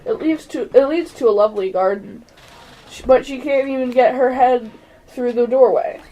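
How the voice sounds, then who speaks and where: A woman's voice: sits high, normal speed, dark tone, lo-fi sound. A female amateur announcer reading scripted running narration in a radio play.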